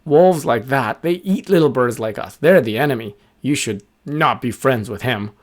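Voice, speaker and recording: Guy doing a pushy skeptical bird bully from a kids story, scolding tone, medium pitch. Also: animated, moderate pace, lightly acted. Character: bossy, skeptical gang of small male birds, threatening.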